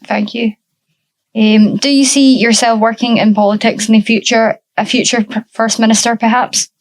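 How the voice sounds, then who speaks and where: A woman's voice: a little high, flat delivery, moderate pace, poor audio. A woman hosting a podcast, reading interview questions and politely thanking her guests after each answer. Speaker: podcast host.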